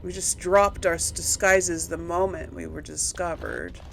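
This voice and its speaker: A woman hosts a casual video game playthrough at a slow, unhurried pace. She talks to her viewers in a chatty way, thinking out loud and questioning herself. Clear background noise and game ambience sit behind her voice. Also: on the low side, big pitch swings, poor audio. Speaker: video game streamer and series host. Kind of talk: casual let's play commentary.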